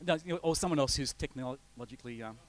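A man's voice: medium pitch, quick pace, background noise. A middle-aged Australian man, a visiting pastor, giving a rambling, chatty introduction to a church congregation, with many fillers and restarts. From north coast of New South Wales, Australia. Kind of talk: informal sermon introduction with slides.

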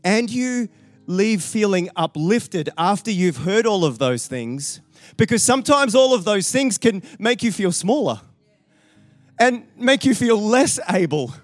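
A man's voice: high voice, sing-song, unhurried, no room sound, faint music behind. A man introducing the guest pastor to a church, building his point in emphatic, repeating steps. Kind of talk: sermon introduction.

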